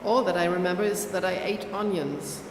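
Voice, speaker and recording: A German woman in her forties reads aloud in a plain, measured voice. Her voice rings around a large echoing gallery room with a long tail of reverb. A steady noise sits underneath. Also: on the low side. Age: 40s. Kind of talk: reading a script aloud.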